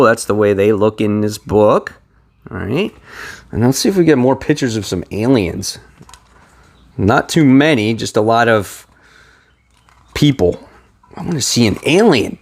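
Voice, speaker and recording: A man hosting a comic book haul video, talking in an informal, enthusiastic fan's manner as he shows things to viewers. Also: lowish voice. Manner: enthusiastic, informal fan talk. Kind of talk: casual show-and-tell commentary to viewers.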